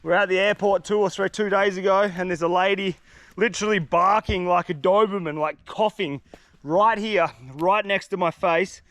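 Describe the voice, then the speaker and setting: Blocked-up vlogger, high-voiced. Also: male voice, fairly even intonation, moderate pace, fairly bright tone, dry recording. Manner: laid-back, chatty, slangy. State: congested, blocked-up nose. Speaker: fishing vlogger. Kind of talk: casual outdoor vlog to camera. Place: dense humid forest, outdoors.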